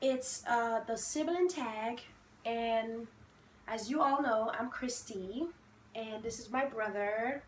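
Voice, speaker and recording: Woman, 20s, from Haiti; high bright voice, slow delivery, playful and confident, roomy echo. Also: slight background noise. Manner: playful, self-assured, laughs often. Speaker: vlogger host. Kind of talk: casual sibling Q&A chat.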